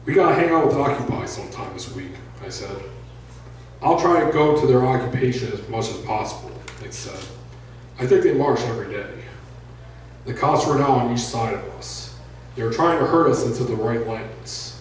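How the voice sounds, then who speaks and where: A man reads narrative prose to a live room as the author of the story. The recording is very reverberant and picked up from a distance, with faint noise behind him. His pitch sits in an average male range. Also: animated, moderate pace. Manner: raises voice for shouted dialogue. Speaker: author reading own book. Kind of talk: live fiction reading, first-person narration. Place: indoor live reading venue.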